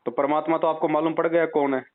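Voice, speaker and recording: A man's voice: on the high side, little pitch movement, fast talker. Insistent man preaching down a tinny phone line. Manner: insistent, preaching, rhetorical questions. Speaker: religious devotee counselling a caller. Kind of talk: persuasive phone counselling. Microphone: telephone. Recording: tinny band-limited phone call recording.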